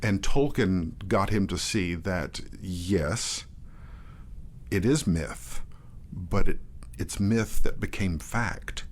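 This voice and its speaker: A man talking as a guest in a casual in-depth discussion, often restarting his phrases in a halting way. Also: deep voice, slight background noise. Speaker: guest, theatre artistic director and performer.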